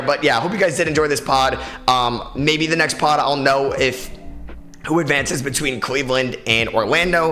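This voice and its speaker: A young man with a raspy, tired voice, hosting a solo sports podcast in a casual, opinionated way with many fillers. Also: mid-range voice, bright, forceful tone. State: tired, short on sleep. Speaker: podcast host.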